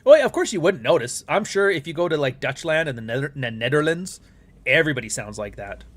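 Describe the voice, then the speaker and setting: A man's voice: a little high, lively intonation, quick pace, a bit bright. A middle-aged Canadian man with a Canadian accent hosting a casual live stream, talking bluntly with frequent swearing and rambling asides. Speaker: livestream host.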